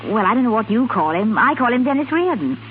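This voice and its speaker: A woman's voice: a little high, very animated, quick pace. Young lady, compassionate, earnest, old 1930s radio play, thin hissy sound. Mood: kindly. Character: earnest young English gentlewoman, compassionate and strong-willed. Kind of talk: 1930s radio drama acting. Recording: hissy, narrow-band 1930s radio recording.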